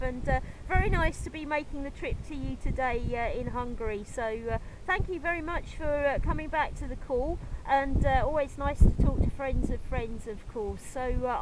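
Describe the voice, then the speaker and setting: A woman operating a portable amateur radio, chatting politely and using formal call-sign procedure. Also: high-pitched. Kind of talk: ham radio contact, call signs. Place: outdoors by the shore, beside a portable radio. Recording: loud background noise, outdoor handheld camera recording.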